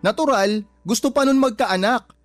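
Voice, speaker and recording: An actor playing a protective, exasperated adult son in a Tagalog radio drama, speaking emphatically and scolding. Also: male voice, very high-pitched, moderate pace, soft background music. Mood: angry, annoyed. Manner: emphatic, scolding, respectful particles to a parent.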